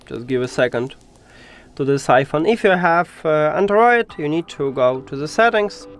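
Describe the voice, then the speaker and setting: A man narrating a step-by-step tech tutorial in informal, slightly non-native English phrasing, pausing hesitantly as he explains. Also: on the high side, lively intonation.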